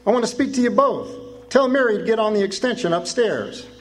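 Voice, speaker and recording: A man's voice: high voice, animated, brisk, faint music behind. A man playing a brash, boastful rich young pal. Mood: jovial. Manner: brash, jovial. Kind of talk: live amateur radio drama.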